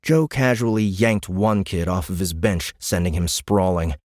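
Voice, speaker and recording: A man's voice: deep voice, measured pace, darkish tone, no echo, clean studio sound. A man narrating a science fiction audiobook in a scripted, dramatic storytelling style.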